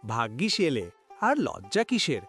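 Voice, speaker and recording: A man's voice: medium pitch, huge pitch swings, measured pace, a bit bright, slightly rough, soft background music, full character voice. A man voicing a kindly, reassuring village uncle in a cartoon.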